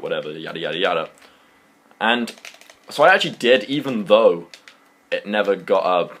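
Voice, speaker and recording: A young British man telling a personal story in a casual, rambling, animated and slangy way. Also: low voice. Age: young adult.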